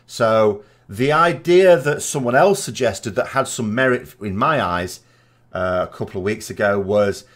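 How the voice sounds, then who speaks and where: A man's voice: on the low side, measured pace. A man giving informal, speculative commentary as a gaming vodcast host, reasoning aloud as he goes. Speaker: video game lore commentator and vodcast host.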